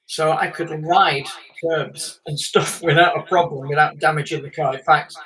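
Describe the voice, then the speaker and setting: A man's voice: on the high side, fairly even intonation, moderate pace, sharp tone, distant mic, low-quality recording. A man in his late fifties, a guest on a live chat, telling long stories with many fillers and small laughs. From England. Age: 50s. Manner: rambling storyteller with fillers and laughs. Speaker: guest, former racing driver.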